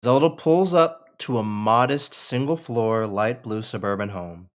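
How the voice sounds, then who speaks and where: A man narrates a screenplay at a table read. He reads scene headings and stage directions in a mid-pitched voice. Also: a little slow.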